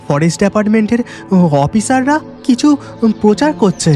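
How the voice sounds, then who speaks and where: A man's voice: sits high, lively intonation, moderate pace, dark tone, faint music behind. A man playing a village honey collector husband in a cartoon, bold but easily alarmed, with theatrical exclamations. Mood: urging, confident. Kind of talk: dramatic cartoon dialogue.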